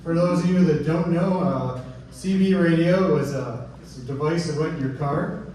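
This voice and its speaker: A middle-aged Canadian man performing self-deprecating stand-up to a live audience in a halting, informal way. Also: a little high, darkish tone. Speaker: stand-up comedian. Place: live comedy venue with audience. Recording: echoey live room, distant mic, audience noise.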